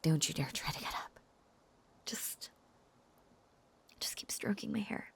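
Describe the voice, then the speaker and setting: A woman playing a lovesick, possessive, lonely witch in an intimate audio roleplay, coaxing and halting in a breathy whisper. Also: deep voice, a bit bright, full character voice. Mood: tender, coaxing.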